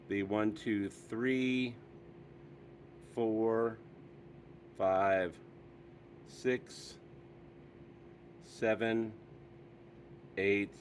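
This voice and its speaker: A man talks through a product review in a low, unhurried voice, easygoing and chatty, with plenty of fillers. A faint hum of background noise sits under his speech.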